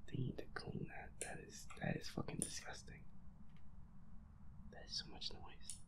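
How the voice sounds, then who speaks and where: A man muttering feisty, sweary commentary to himself as he plays a horror game, in a breathy voice. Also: normal speed, whispered. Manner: feisty, grumbling, swears constantly. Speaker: gamer playing a horror game as audio roleplay character. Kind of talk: hushed gaming commentary, muttering to self.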